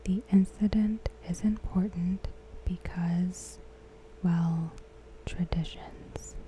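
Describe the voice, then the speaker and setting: A woman softly whispering a scripted recap as an ASMR host, breathy, hushed and deliberate. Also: on the low side, fairly even intonation, a little hiss or hum, poor audio. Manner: measured, deliberate, hushed delivery.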